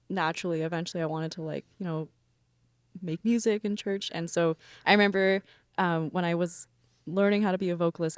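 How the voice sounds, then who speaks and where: A woman singer in a band, talking relaxed and rambling in a casual interview. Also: on the low side, no room sound, clean studio sound. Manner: relaxed, rambling with many fillers. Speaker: band member, vocalist.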